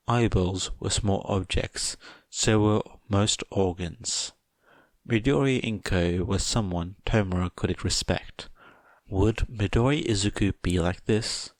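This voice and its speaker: Male fiction narrator: lowish pitch, deliberate slow pace, measured, dry delivery. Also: no echo. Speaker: audiobook narrator.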